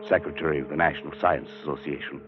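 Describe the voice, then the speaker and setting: A man playing a sober, haunted scientist in an old radio drama, speaking formally and gravely. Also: extremely low pitch, steady tone, fast talker, music in the background. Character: British scientist, medieval scholar, sober, haunted narrator. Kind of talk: 1940s radio drama acting. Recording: dull vintage radio recording.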